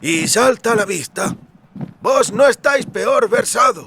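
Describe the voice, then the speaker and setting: A man voicing a courteous merchant with slow, flowery, learned speech in a fantasy audiobook. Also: very high voice, animated, background noise, pitched above the performer's own voice, heavily acted. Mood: flattering.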